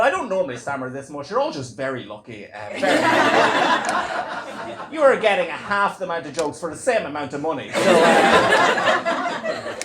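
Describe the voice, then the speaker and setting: A man's voice: high-pitched, heavy background noise. A young Irish man with a stammer doing a stand-up comedy set in a club. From Ireland. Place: comedy club before a laughing crowd.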